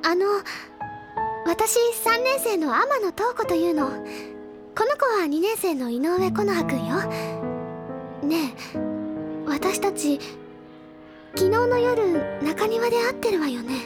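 A woman's voice: very high voice, animated, slow, pauses often, loud music behind the voice, full character voice. An actress playing a cheerful, eager senior schoolgirl in a drama CD. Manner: bright, eager, theatrical.

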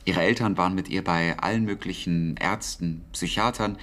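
An actor in a German radio drama playing a wartime codemaster, awkward and exacting, with a hesitant, precise delivery and a wistful streak. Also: male voice, deep voice, moderate pace, fairly bright tone. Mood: reflective storytelling.

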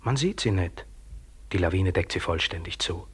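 A man's voice: low voice, expressive, normal speed, husky, full character voice. An actor playing the grim, taunting inner voice of a trapped man, terse and half-whispered, in a German radio play. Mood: grim, commanding.

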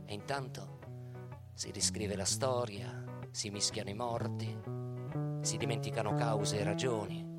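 A man's voice: fairly low pitch, fairly even intonation, measured pace, loud music behind the voice, natural voice. An actor playing a murdered young man who speaks from death in a theatrical radio monologue, declamatory, emphatic and bitterly ironic. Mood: bitter, brooding.

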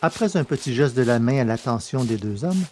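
A man's voice: mid-range voice, lively intonation, fairly quick, darkish tone, slight background noise. A man presenting a podcast and narrating a science fiction serial in carefully phrased, literary read speech. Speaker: podcast host and audiobook narrator.

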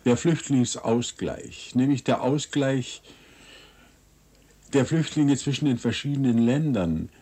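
A man delivers a formal political statement. His voice is medium in pitch, husky and mellow. He explains each point in a deliberate way.

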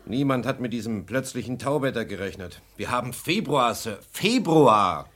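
A man's voice: medium pitch, expressive, normal speed, fairly bright tone. An actor playing a loyal, blunt ex-military security chief in a radio crime play, clipped and respectful in his speech. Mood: worried, urgent.

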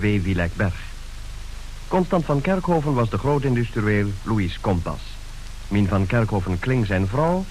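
A man acts as formal announcer, reading out radio play credits. His mid-pitched voice has a lively, varied intonation. A light hiss marks the old recording. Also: low-quality recording.

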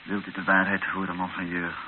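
An actor playing a respectful, sombre aide to a missionary bishop in a radio drama, measured and deferential. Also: male voice, low-pitched, monotone, lightly acted. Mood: bleak. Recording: hissy, dull old radio recording.

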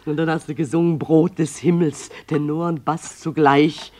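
A woman's voice: sits low, little pitch movement, moderate pace, darkish tone. An actress in a radio play voicing a merry, affectionate wife who fondly teases her drunkard husband while telling a tale. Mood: teasing, relishing. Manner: chuckling, affectionate storytelling.

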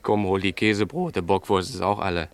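A man's voice: sits low, fairly quick, clean tone. A man playing a dry-humoured snack bar owner in a German radio drama, wry and matter-of-fact. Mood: wry, businesslike.

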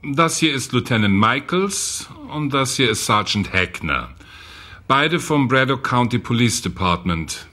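An actor playing a businesslike youth prison official in a radio drama, speaking matter-of-factly. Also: male voice, medium pitch, animated, a little slow, bright, forceful tone.

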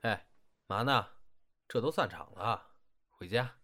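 A low-voiced, teasing young man. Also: a little slow, sharp tone, slight background noise. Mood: casual, puzzled. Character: teasing, protective young male stage partner, steady and affectionate. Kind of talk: audio drama acting. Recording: guitar music behind the voice. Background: guitar music.

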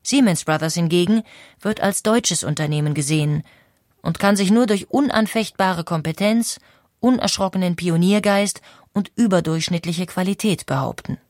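A woman giving calm, scripted documentary narration. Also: fairly low pitch, moderate pace, no echo, clean studio sound.

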